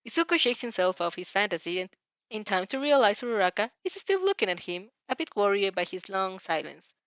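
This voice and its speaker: A woman reading a story aloud as narrator, dramatic and a little halting, stumbling over some words. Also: medium pitch, big pitch swings, a bit bright, dry recording, lo-fi sound. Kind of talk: expressive fan fiction read-aloud.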